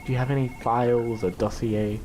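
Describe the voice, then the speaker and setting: A man plays a nervous company man in an audio drama. He has a mid-pitched voice and talks in an officious, defensive way, over faint ambient noise. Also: flat delivery, a little fast, warm tone, close to an everyday voice, poor audio. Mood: nervous, pleading. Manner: anxious, officious, pleading.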